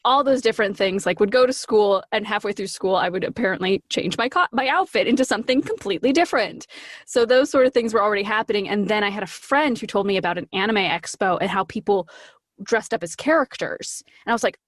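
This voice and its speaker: A woman's voice: medium pitch, fast talker, dry recording, clean studio sound. A woman guest on a podcast chatting casually and effusively, theatrically acting out little quotes and repeating words for emphasis. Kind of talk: casual chat, telling anecdotes.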